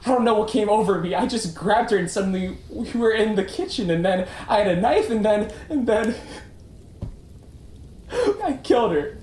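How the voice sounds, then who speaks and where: A man performs an emotional, defensive suspect in puppet show melodrama. His pitch swings widely and his delivery is halting, in a roomy, echoing space. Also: lively intonation, darkish tone. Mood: distraught. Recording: roomy echo with faint background noise.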